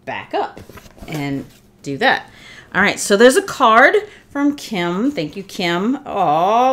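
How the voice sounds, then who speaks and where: A woman's voice: on the low side, a little hiss or hum. Chatty congested woman unboxing gifts. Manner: chatty, warm thanks, many fillers and restarts. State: congested from a cold. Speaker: vlogger. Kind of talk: casual unboxing chat. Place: living room, indoors.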